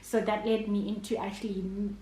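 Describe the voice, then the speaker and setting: A woman's voice: mid-range voice, little pitch movement, a little fast, dark tone, slight background noise. A Zimbabwean woman in her thirties, an entrepreneur and chef, answering interview questions in a hesitant way, with many fillers and restarts. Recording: echoey room.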